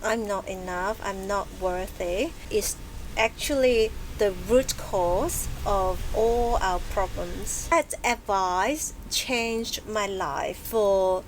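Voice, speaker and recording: A woman's voice: medium pitch, slight background noise, poor audio. Thoughtful woman guest. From Hong Kong. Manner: thoughtful, halting, many fillers and restarts. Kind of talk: casual interview chat. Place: book and music shop, live event.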